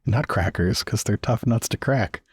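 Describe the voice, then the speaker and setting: A man's voice: on the low side, moderate pace, husky, dry recording, clean studio sound. A man joking casually as a player in a tabletop game.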